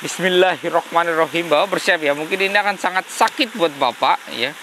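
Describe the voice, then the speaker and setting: A man's voice: on the high side, a little fast, background noise. A man with a slight cold talking casually to viewers as a host, often breaking into religious exclamations. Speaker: paranormal channel host.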